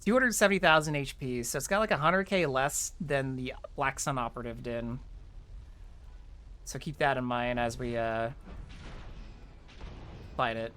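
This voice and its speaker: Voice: mid-range voice, moderate pace, pauses often, a bit bright, a little hiss or hum. A casual game streamer with a mid-range voice talks viewers through the play. The delivery is informal and chatty, explaining things and thinking aloud along the way. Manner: chatty, informal, mild swearing. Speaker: streamer playing an online game. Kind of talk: casual game walkthrough commentary.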